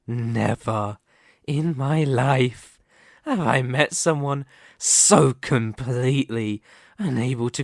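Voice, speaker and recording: A man voicing a dominant, mocking demon lord in an ASMR roleplay, with condescending, theatrical, drawn-out delivery. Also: mid-range voice, creaky, no room sound, studio quality. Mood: offended. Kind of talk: ASMR roleplay, scripted villain monologue.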